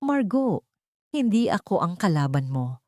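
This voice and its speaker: A woman voicing a gentle, compassionate housemaid heroine in an audio drama, soft, calm and pleading. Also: on the low side, measured pace, no room sound, clean studio sound. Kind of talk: Tagalog audio drama acting.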